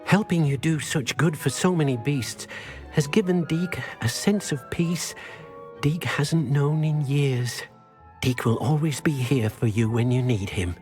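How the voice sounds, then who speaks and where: An actor playing a gentle, humble, grateful house-elf servant in a video game, formal and speaking of himself in the third person. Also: medium pitch, measured pace, faint music behind, close to an everyday voice. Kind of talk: video game character acting.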